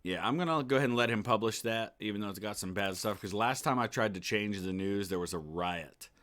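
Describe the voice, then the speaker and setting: Male let's player, fairly low voice, easygoing running commentary, casual. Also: brisk, a bit bright. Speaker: gaming YouTuber.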